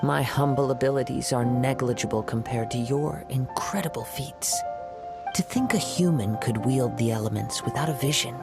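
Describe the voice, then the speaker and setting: A gentle young wandering samurai, voiced by a man speaks in formal, measured phrases, often dropping to a near-whisper. His mid-pitched voice has a faint gravelly edge, and clear game music plays behind him. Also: natural voice. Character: calm young male wandering samurai, poetic and courteous.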